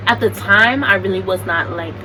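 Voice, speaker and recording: A young American woman telling a story in a chatty, animated, slangy way. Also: mid-range voice, moderate pace. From United States. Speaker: vlogger. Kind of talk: casual storytime. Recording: distant mic with background noise.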